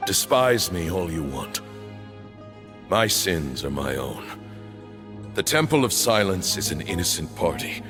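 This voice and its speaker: An actor playing a solemn male temple elder in a video game, speaking in grave, measured declamations. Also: low-pitched. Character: solemn male cult elder, proud and zealous. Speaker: temple leader.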